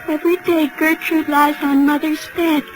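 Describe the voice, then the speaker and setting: A woman's voice: high-pitched, level tone, moderate pace, soft background music, natural voice. An actress playing a troubled, haunted young woman in an overwrought, melodramatic 1940s radio detective drama style, on a noisy old recording. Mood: brooding, confessional. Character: troubled, haunted young woman who believes herself evil.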